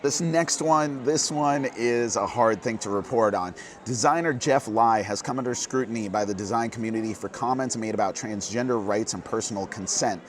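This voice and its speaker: A hoarse man hosting a casual board game news roundup, earnest and quick, getting fired up at times. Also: fairly low pitch. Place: busy convention hall.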